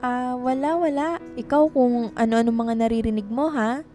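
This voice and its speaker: A woman's voice: on the high side, normal speed, mellow, faint music behind, natural voice. An actress playing a melancholy young woman writer in a radio drama. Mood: annoyed.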